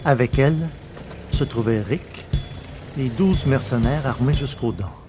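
A man with a medium-pitched voice narrates an adventure story. His delivery is scripted and literary, like an audiobook, with music behind him. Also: lively intonation, a little slow.

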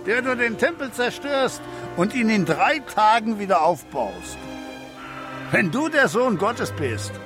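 An actor playing a young onlooker at the crucifixion in a German radio drama. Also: male voice, high-pitched, very animated, unhurried, music in the background. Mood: jeering. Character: jeering young lout and awed soldier at the crucifixion.

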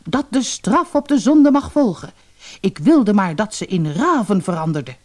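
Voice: medium pitch, big pitch swings, moderate pace, a little mellow, voice pushed up. A storyteller voicing a worried village father in a fairy tale, dramatic and lamenting. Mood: angry. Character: anguished, worried village father of seven sons.

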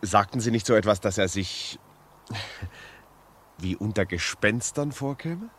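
An actor playing a polite, inquisitive journalist in a 1950s radio drama, asking courteous, probing questions. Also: male voice, on the low side, animated, unhurried, fairly bright tone, breathy edge, exaggerated voice. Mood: curious. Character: polite, inquisitive male journalist, affable visitor. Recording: noisy old 1950s radio recording.

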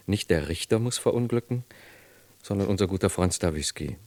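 An actor playing a cold, patronising corrupt official with a condescending, measured manner in a radio play. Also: male voice, low voice, lively intonation, a little mellow, no room sound. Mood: cold, calculating. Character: calculating, corrupt high official, coldly patronising. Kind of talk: German radio play dialogue.

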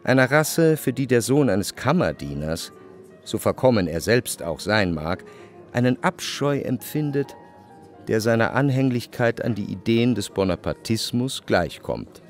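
A man narrating a literary radio play in a measured, faintly ironic manner. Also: medium pitch, sing-song, soft background music.

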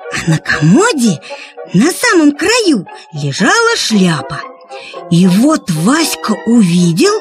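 Female children's storyteller: high, expressive, unhurried delivery, music underneath. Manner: expressive, theatrical, drawn-out emphasis. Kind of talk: scripted children's story narration.

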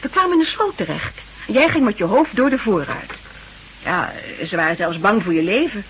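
An actress playing a solicitous, brisk wife in a Dutch radio play, coaxing and reassuring. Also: female voice, mid-range voice, very animated, fairly bright tone, lo-fi sound. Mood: solicitous, explanatory. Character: solicitous, brisk wife tending her confused husband. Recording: old radio recording with light hiss.

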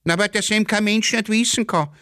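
A man's voice: high voice, fairly quick, bright, forceful tone, no echo, clean studio sound, voice pushed up. A man voicing a muddled, evasive Viennese railway porter who grumbles long-winded excuses in dialect. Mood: shrugging.

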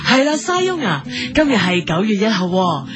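A woman's voice: mid-range voice, sing-song, moderate pace, close to an everyday voice. A woman with a Hong Kong accent playing a genteel, patient TV magazine show host in a comedy radio skit. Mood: polite, chirpy. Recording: music playing behind.

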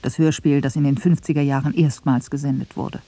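A woman's voice: rumbling low, fairly even intonation, a little fast, mellow, no echo. A woman reading scripted narration for a radio documentary feature.

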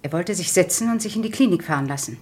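A controlled, lower-voiced woman confessing. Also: animated, fast, a little mellow, slightly rough. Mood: subdued, resigned. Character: woman doctor calmly confessing to killing her husband. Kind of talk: 1970s German radio crime drama acting.

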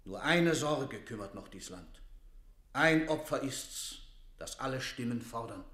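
A man's voice: medium pitch, expressive, a little slow, bright, forceful tone, echoey room. An actor playing a stern, zealous lord advising a queen, formal and insistent, in a classical radio play. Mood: solemn flattering.

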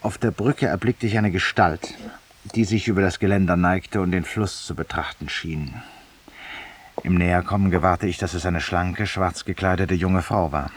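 A male actor playing a weary, ironic, haunted man who confesses to a stranger in a deliberate, courteous, literary monologue, in the style of 1950s German radio drama. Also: low-pitched, steady tone, husky. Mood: haunted, reflective. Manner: literary, deliberate, ceremoniously polite, confiding. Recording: hissy 1950s radio recording, distant voice.

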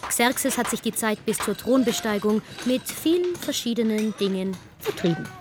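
A woman narrating a comic radio play as a wry, matter-of-fact storyteller with a deadpan manner. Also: fairly high pitch, moderate pace, background noise, close to an everyday voice. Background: music.

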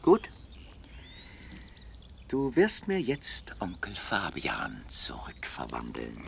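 An actor playing a wealthy, urbane young bachelor with a measured, drily ironic and polite manner, in a 1960s German radio play. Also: male voice, rumbling low, wildly swooping pitch, creaky, full character voice. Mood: probing, ironic. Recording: noisy 1960 radio play recording.

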